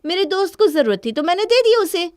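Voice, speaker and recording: A woman's voice: extremely high pitch, big pitch swings, a little fast, a little mellow, pitched above the performer's own voice. A woman voicing a scornful, defiant young wife in a cartoon story, haughty and dismissive.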